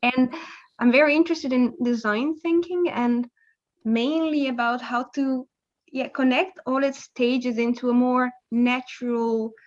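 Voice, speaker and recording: A woman's voice: high voice, fairly even intonation, a little slow, muffled recording. A woman from Romania who is studying abroad, introducing herself hesitantly on a video call. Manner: hesitant, fillers. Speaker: student. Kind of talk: casual self-introduction.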